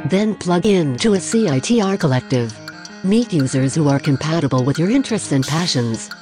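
A woman's voice: low-pitched, lively intonation, normal speed, music in the background. A woman announcer reading a scripted, tongue-in-cheek radio promo.

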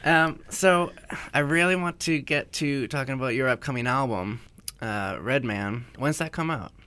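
A man's voice: mid-range voice, normal speed, sharp tone, clean tone. A man interviewing a musician, eager and casual, with many fillers. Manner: enthusiastic, filler-heavy.

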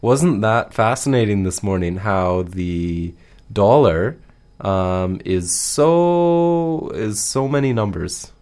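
A man co-hosting a casual, humorous radio show in a halting, mock-serious way. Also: lowish voice, expressive, darkish tone.

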